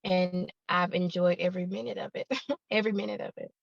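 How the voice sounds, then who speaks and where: A woman in her thirties chats as a podcast guest, soft-spoken and halting. Her voice carries a gravelly fry. The sound is dull and lo-fi, with a very dry room. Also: fairly low pitch, fairly even intonation, no echo. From Alabama, United States. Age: 30s. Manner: soft-spoken, hesitant with many ums, laughs easily. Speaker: guest, YouTuber. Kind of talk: casual podcast chat.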